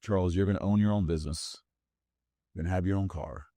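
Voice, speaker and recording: Deep-voiced guy from brazil, portuguese first language, chatty storytelling, lots of restarts, dry sound. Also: fast talker, no echo. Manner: animated, with frequent restarts and "like". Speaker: guest, business owner. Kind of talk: casual interview storytelling.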